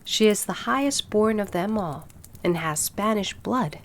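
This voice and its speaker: A woman's voice: medium pitch, animated, unhurried, slight background noise. A woman voicing a fond, proud and bossy mother duck in a storybook, with a fussy, instructive manner. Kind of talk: storybook character voice.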